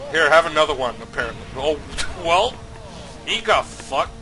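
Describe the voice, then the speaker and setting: A man commentating his own racing game playthrough, slightly sick and nasal, swearing often with sudden loud outbursts. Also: on the high side, big pitch swings, normal speed, over music, low-quality recording. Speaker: let's play host. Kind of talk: casual gameplay commentary.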